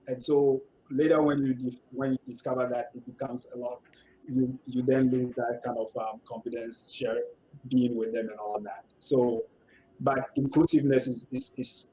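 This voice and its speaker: A West African man talking on a discussion panel at a medium pitch. He feels his way through his points with frequent "um"s and repeated words, and a faint hiss sits under his voice. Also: a little mellow, poor audio. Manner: hesitant, many fillers and restarts.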